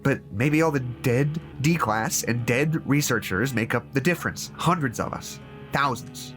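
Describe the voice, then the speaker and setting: A man's voice: mid-range voice, a bit bright, faint music behind, natural voice. An actor playing a troubled, disillusioned male therapist in an audio drama, stammering and hesitating as he reasons aloud. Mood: bitter. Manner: halting, hesitant, stammering repeats.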